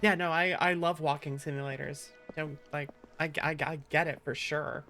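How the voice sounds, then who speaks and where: A woman's voice: sits low, fairly even intonation, moderate pace, a bit bright, soft background music. A woman from the American Midwest giving chatty, casual gameplay commentary, reacting aloud as she plays. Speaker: game streamer.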